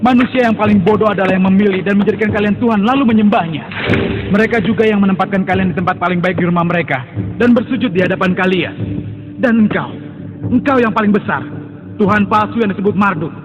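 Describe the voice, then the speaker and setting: A man's voice: high voice, quick pace, muffled recording, music in the background, lightly acted. A man voicing a steadfast young prophet in a dubbed cartoon, speaking firmly and reproachfully. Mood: defiant. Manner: firm and reproachful.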